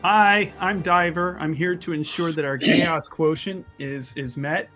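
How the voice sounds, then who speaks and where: A man with a slightly high voice talks as a player at a roleplaying table. He is casual yet wordy, reaching for grand words and often stopping and restarting. Soft music sits in the background. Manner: wordy, halting, with grand vocabulary. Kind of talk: casual character introduction at a game table.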